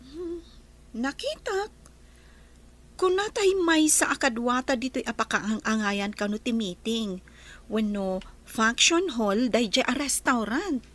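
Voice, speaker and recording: A woman's voice: a little high, animated, takes it slowly, a bit bright, full character voice. A woman with a Filipino accent playing a down-to-earth young hotel trainee who scolds her friend, in an Ilocano radio comedy drama. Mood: chatty.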